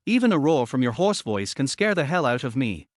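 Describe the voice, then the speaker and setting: A man voicing a calm, dryly teasing young man in a storybook-style recap. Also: medium pitch, a little fast, no echo, clean studio sound, close to an everyday voice.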